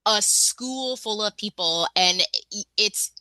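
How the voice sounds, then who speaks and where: Woman webinar host, bright and dry, casual video-call chatter with lots of "like"s. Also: mid-range voice, slow pace, clean tone, no echo. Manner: chatty, lots of fillers and restarts. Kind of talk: casual online webinar hosting.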